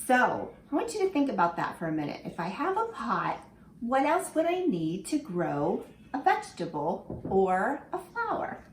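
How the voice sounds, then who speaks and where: A woman teacher reading a picture book aloud to children in an animated way, stopping to ask them questions. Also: a little high, normal speed, a little hiss or hum. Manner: animated, asks the listener questions. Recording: echoey room with faint ambience.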